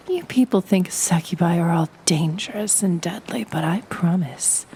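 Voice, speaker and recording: A woman plays a sultry succubus in a flirty audio drama, with a low, dark-toned voice that lingers over each teasing phrase. She is playful yet businesslike, slipping into a breathy half-whisper over faint rain-like noise. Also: poor audio.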